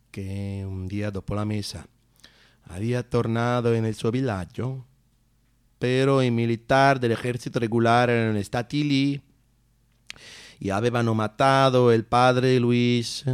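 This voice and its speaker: A man playing a blind old Colombian priest figure with a Spanish accent, telling a story slowly and dramatically in a stage monologue. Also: medium pitch, smooth, no echo, studio quality, close to an everyday voice. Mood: grave, tender. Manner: slow, dramatic storytelling mixing Italian with Spanish.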